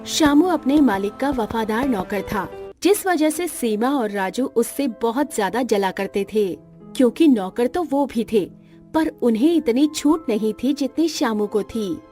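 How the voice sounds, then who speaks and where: High-pitched woman narrating a cartoon story. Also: animated, unhurried, mellow, soft background music. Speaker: narrator and voice actor.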